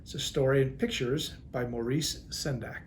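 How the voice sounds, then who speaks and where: A man's voice: medium pitch, steady tone, moderate pace, a little hiss or hum. An American man, a police chief, reads a children's picture book aloud expressively, with casual asides.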